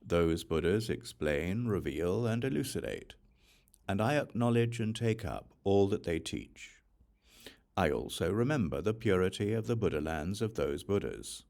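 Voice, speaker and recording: A man reads a sacred text aloud as an audiobook, in measured, solemn phrases with deliberate pauses. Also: low voice, lively intonation, gravelly, a little mellow. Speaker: audiobook reader of scripture. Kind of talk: scripted reading of a Buddhist sutra.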